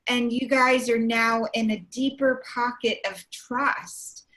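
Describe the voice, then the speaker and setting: A woman hosting a video call, speaking in an earnest, deliberate way. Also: fairly high pitch, little pitch movement, pushed and bright. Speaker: host. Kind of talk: casual chat.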